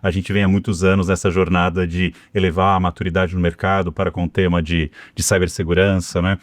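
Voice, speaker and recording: A middle-aged Brazilian man with a São Paulo accent talks quickly and fluently in a conversational podcast interview, his voice sitting slightly low. The sound is close and dry, with no room echo. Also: level tone. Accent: Brazilian Portuguese, São Paulo. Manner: fluent, conversational storytelling with frequent "né" tags. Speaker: cybersecurity executive and guest.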